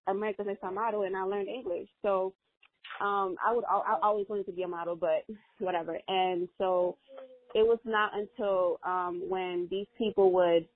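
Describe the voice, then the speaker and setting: A woman's voice: medium pitch, fairly even intonation, moderate pace. Earnest young woman on a tinny line. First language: Spanish. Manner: earnest, rambling, many "like" fillers. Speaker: interview guest, model. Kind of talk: casual interview. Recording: dry, tinny phone-like audio.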